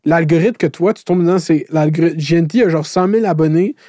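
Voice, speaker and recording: Un jeune homme à l'accent québécois, en conversation décontractée, au parler relâché et familier. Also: male voice, fairly high pitch, a little fast, dark tone, no room sound, studio quality. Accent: Québécois French. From Quebec. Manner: laid-back, slangy. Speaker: YouTuber, podcast co-host. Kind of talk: casual chat.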